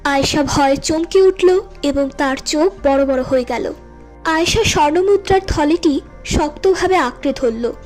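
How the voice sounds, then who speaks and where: A woman's voice: high-pitched, measured pace, a little mellow. A woman narrating a scripted moral story for a cartoon. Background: music.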